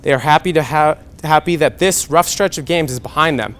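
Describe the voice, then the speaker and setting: Higher-voiced young male sports anchor. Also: a little fast, a bit bright, a little hiss or hum. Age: young adult. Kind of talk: scripted TV sports newscast.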